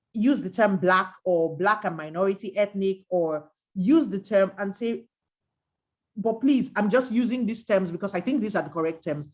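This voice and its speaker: A middle-aged woman from Nigeria, an adult educator, speaking earnestly and emphatically in a reflective dialogue, often quoting others. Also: medium pitch. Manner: earnest, emphatic, quotes others in lively asides.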